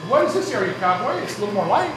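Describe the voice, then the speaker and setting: A man's voice: sits high, sing-song, racing, music in the background. A man hosting a casual on-location interview in a bantering, joking way. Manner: jokey, bantering. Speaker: host of a paranormal investigation show. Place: inside an old saloon. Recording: echoey room with background noise.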